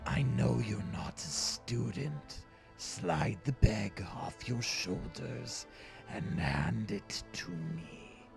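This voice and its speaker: A man playing a smug, menacing army captain in an audio drama, with a gravelly whispery voice and a slow, condescending, commanding manner. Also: fairly low pitch, faint music behind, heavily acted. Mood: cold menace.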